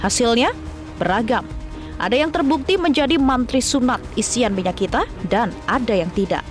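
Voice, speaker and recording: A woman's voice: on the high side, expressive, normal speed. A woman delivering scripted television news narration with formal, emphatic phrasing. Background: faint music bed.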